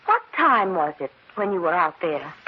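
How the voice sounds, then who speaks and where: A woman's voice: on the low side, sing-song, normal speed, husky. An actress playing a frightened young woman, breathless and melodramatic, in an old radio horror play. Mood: uneasy. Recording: muffled old radio recording.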